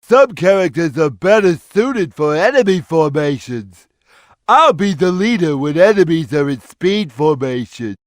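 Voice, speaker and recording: Slow, simple, gentle big cat. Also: male voice, fairly high pitch, sing-song, no room sound, studio quality. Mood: calm, instructive. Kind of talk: video game character lines.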